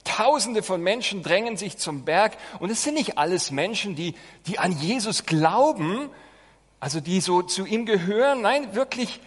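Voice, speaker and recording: A man preaching as a pastor to a congregation, with a measured, emphatic delivery. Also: sits high, lively intonation. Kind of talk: sermon with scripture reading. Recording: long hall reverb.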